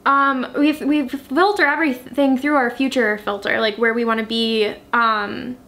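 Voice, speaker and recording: A woman in her late twenties talks through plans in a casual home vlog, earnest and heartfelt, with a ready giggle. Her voice is bright and a touch high, and she speaks from their apartment living room. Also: steady tone, unhurried. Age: 20s. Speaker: vlogger. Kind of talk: casual couple vlog chat.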